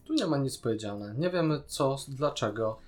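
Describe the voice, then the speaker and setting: A man's voice: mid-range voice, animated, moderate pace. A man with a Polish accent and a mid-range voice talks as a podcast co-host. His delivery is casual and critical, with an exasperated, disbelieving edge, and faint music sits behind him. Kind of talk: casual critical discussion of a series.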